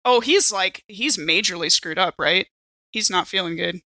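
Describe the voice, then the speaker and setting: Bright, close-miked female gamer. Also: fairly low pitch, normal speed, no echo. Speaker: player in a tabletop role-playing game. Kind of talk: casual game talk and in-character lines.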